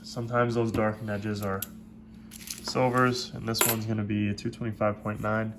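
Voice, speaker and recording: A man with a stuffed-up, congested voice hosting a coin roll hunting video, giving steady, methodical play-by-play from his desk. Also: on the low side, normal speed, noisy background. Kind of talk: casual hands-on commentary. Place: at a desk indoors.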